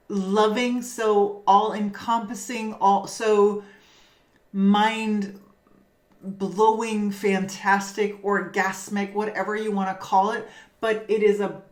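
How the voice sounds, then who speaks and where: A woman from Denmark hosts a spiritual podcast, speaking at an ordinary middle pitch. She talks in a reflective, searching way, often restarting her sentences. The sound is roomy and echoey. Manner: reflective, halting, frequent restarts and fillers. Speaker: spiritual business coach, podcast host. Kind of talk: personal storytelling monologue.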